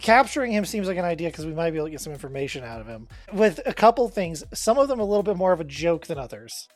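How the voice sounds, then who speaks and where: Fast-talking male player, eager. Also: on the high side, big pitch swings, soft background music. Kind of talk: casual tabletop game chat.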